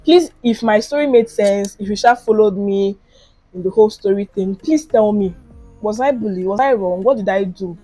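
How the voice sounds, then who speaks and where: A woman's voice: medium pitch, normal speed, warm tone, soft background music. A young woman from Nigeria with a Nigerian accent, telling a story in a casual vlog while she does her makeup, acting out the lines of the people in it. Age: young adult. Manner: chatty and dramatic, acting out quoted lines. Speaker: vlogger.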